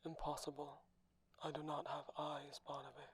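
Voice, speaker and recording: A man playing a cold, deadpan robot assistant with formal, clipped phrasing in an ASMR audio roleplay. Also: on the high side, moderate pace, slightly rough. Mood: deadpan, dry.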